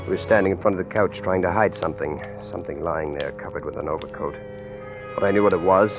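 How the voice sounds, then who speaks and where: A man's voice: low-pitched, fast, gravelly. An actor playing a frightened, stammering ordinary man caught among killers, in a 1940s radio suspense drama. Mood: tense dread. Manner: hesitant, stammering. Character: frightened ordinary husband mistaken for a killers' client. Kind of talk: 1940s radio acting, first-person suspense narration. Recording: old-time radio recording with music.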